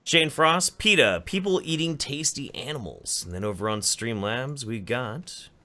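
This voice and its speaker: A man's voice: mid-range voice, animated, a little slow, sharp tone. A man hosting a podcast, reading donation messages aloud and joking sarcastically. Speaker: podcast host.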